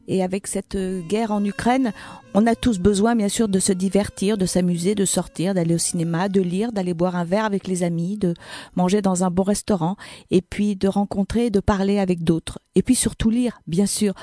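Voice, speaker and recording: A woman hosting a radio book interview, her voice mellow and warm. She talks in a measured, chatty way and often pauses to find her words. The sound is dry and close, with no room around it. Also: mid-range voice, no echo. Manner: measured, chatty, hesitant with fillers. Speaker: host of a literary radio show.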